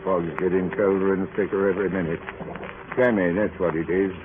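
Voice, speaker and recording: An actor playing an uneasy, grumbling sailor with colloquial speech in a 1930s radio drama. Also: male voice, low voice, fairly even intonation, a little fast, natural voice. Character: uneasy, grumbling British sailor on a foggy watch. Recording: very muffled, noisy 1930s radio recording.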